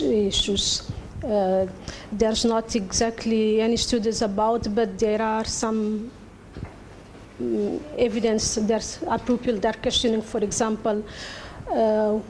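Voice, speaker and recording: A woman's voice: on the high side, a little mellow, slight background noise, poor audio. Hesitant woman. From Cape Verde. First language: Portuguese. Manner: hesitant, many fillers and restarts. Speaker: conference speaker. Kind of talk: halting conference discussion.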